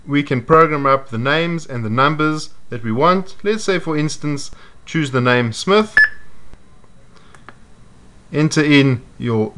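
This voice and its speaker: Man, mid-range voice, methodical how-to narration; faint background hiss. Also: mid-range voice. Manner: measured, methodical explaining. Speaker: product demonstrator. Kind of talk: step-by-step instructional voice-over. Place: indoors at a table.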